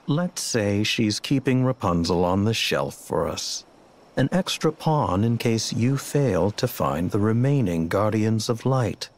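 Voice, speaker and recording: Slow, deep, condescending villain. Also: male voice, expressive. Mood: smug, calm. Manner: measured, condescending, theatrical. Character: smug, coolly menacing male villain in a dark cloak. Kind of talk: scripted video game cutscene acting.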